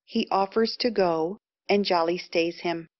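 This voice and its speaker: A woman's voice: on the low side, unhurried, no room sound, lo-fi sound. A woman reading stage directions as scripted narration for an audio play.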